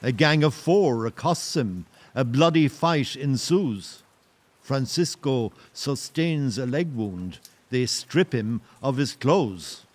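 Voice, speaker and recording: A man narrating a historical audio play in a solemn, literary and measured way. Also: mid-range voice, lively intonation. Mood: grave. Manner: measured, poetic. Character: solemn male storyteller of a historical tale.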